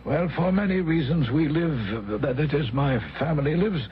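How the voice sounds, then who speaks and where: An actor in a radio drama playing a formal, evasive, middle-aged well-to-do gentleman with a husky voice, speaking haltingly. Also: a little high, expressive, slight background noise, close to an everyday voice. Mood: troubled, evasive. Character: hesitant, evasive, well-to-do married gentleman employer. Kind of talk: old-time radio drama acting. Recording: dull, muffled old radio recording.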